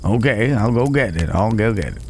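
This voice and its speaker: A man playing a calm, protective cowboy stranger in an ASMR roleplay, talking in an unhurried, folksy way with hesitant pauses and gentle teasing. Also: fairly low pitch, background noise, natural voice. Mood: concerned, reassuring. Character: gruff but caring male cowboy stranger, calm and protective. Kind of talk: immersive ASMR roleplay acting. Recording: outdoor night ambience with chirping crickets.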